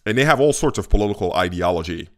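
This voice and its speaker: A man's voice: fairly low pitch, lively intonation, fast, a little mellow. A man in his thirties from Haiti, chatting casually and candidly to camera from his home kitchen into a studio mic, with lots of fillers. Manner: conversational and candid, with frequent fillers. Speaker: vlogger. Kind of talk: casual talking-head vlog. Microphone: studio mic on a stand in front of him.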